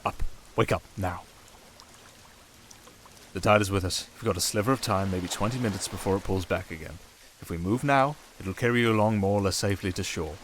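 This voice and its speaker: A man's voice: low-pitched, brisk, slight background noise, natural voice. A British man playing a sardonic, restrained siren in an intimate ASMR roleplay, speaking in a wry, hesitant, confiding way. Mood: urgent. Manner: wry, hesitant, confiding, addressing one listener. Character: sardonic, restrained, softly dangerous male siren sea creature. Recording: water and storm ambience behind voice. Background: running water and rain ambience.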